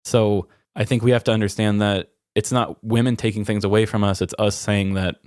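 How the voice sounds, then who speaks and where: A man's voice: fairly low pitch, steady tone, fairly quick, darkish tone, dry recording, studio quality. A man hosting a podcast, talking in a candid, reflective and self-deprecating way. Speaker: podcast host. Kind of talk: candid personal conversation.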